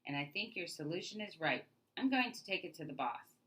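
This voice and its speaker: A woman's voice: fairly low pitch, very animated, a little fast, sharp tone. Woman voicing a friendly, encouraging machine-shop man. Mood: impressed.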